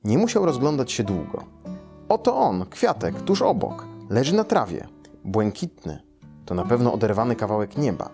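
A man's voice: medium pitch, sing-song, normal speed, music in the background. A man, a storyteller reading a children's tale aloud in a room. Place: small furnished room, indoors.

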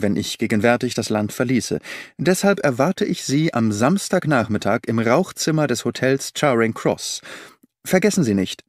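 Male audiobook narrator, measured storytelling, mid pitch, dry studio read. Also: a little mellow, no echo. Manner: measured storytelling reading, shifting tone for quoted characters. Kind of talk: scripted audiobook narration with voiced dialogue.

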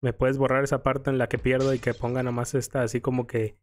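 A man's voice: medium pitch, flat delivery, fast, a little hiss or hum. A man with a Mexican accent doing casual, joking commentary over a video game playthrough. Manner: joking, playful exclamations. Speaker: gaming video creator. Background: video game music.

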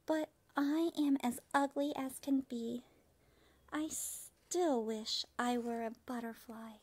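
Sad little storybook cricket, played by a woman: high voice, slow delivery, plaintive and longing. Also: darkish tone. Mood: wistful. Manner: plaintive, wistful. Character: sad, self-doubting little young male cricket. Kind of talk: storybook character reading.

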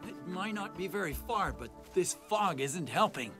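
An actor playing a brave young desert prince hero, earnest and resolute. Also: male voice, sits high, expressive, normal speed, over music. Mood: thoughtful.

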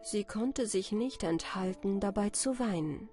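A woman's voice: medium pitch, unhurried, warm tone. A woman narrating a bedtime fairy tale as a scripted audiobook. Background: music.

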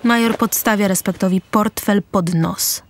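A woman's voice: medium pitch, unhurried, a little hiss or hum. Woman narrating a radio drama. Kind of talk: scripted radio drama narration, reading stage directions.

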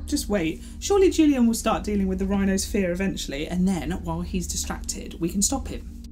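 A man's voice: high-pitched, animated, fairly quick, darkish tone, soft background music. A man playing a mouthy, anxious young human companion in a fan audio drama, colloquial and questioning. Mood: sceptical.

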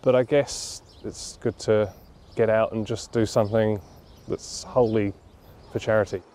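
A documentary interviewee at a campsite, a man in his thirties with a mellow, lowish voice, pausing to think as he explains himself. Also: fairly even intonation, a little hiss or hum. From London, England. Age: 30s. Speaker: interviewee, charity cyclist. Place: outdoors at a leafy campground.

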